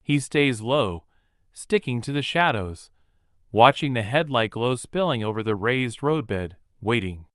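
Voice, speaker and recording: A man's voice: mid-range voice, expressive, a little slow, fairly bright tone, dry recording. A man reads a horror audiobook in a vivid, present-tense style. His mid-range voice swings freely up and down the scale. The recording is close and completely dry. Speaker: audiobook narrator. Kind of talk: scripted horror narration.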